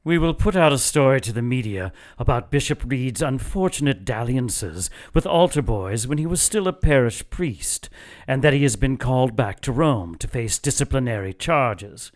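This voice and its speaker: A man's voice: mid-range voice, animated, close to an everyday voice. Man playing a cold, imperious church zealot. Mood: cold authority. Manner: measured, imperious, menacing. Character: cold ruthless male church zealot leading a secret council. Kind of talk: audio drama acting.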